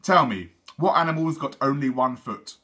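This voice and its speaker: A man reads the part of a father in a children's story, patiently explaining and gently teasing. His pitch moves in a lively way, and the tone is bright with some huskiness. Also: normal speed.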